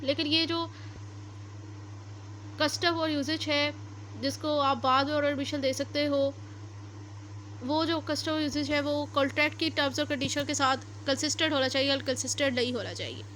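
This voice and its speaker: High, bright-voiced Pakistani woman lecturing. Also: fairly even intonation, normal speed, pauses often, slight background noise, poor audio. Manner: didactic, repetitive step-by-step explaining, code-switching into legal English terms. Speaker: law lecturer. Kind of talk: explanatory lecture, alternating read-out statutes with explanation.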